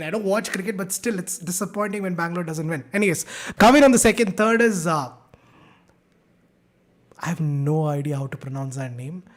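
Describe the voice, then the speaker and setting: Upbeat young male teacher. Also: high voice. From India. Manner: upbeat, banters with students, code-switching slang. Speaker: online science teacher. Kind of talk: live online class, chatty.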